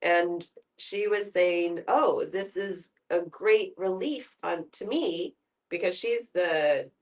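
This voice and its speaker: A woman's voice: on the low side, takes it slowly. Woman chatting, distant from the mic. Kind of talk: casual group discussion, recounting conversations.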